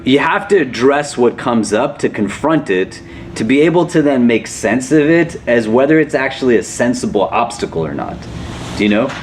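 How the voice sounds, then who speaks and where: A man's voice: mid-range voice, a little hiss or hum. A man, an imam leading a casual religious class, asking questions and often checking in with "do you know?". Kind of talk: conversational religious lesson.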